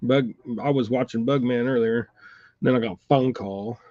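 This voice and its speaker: Host, male, congested, laid-back chatty stream voice, mid-pitched, dry lo-fi home recording. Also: moderate pace, dry recording. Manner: relaxed, chatty, greets viewers by name. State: congested, coughing. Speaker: fishing channel host tying jigs. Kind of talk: casual live-stream chat while tying. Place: home room with a TV nearby. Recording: dull, slightly lo-fi dry sound.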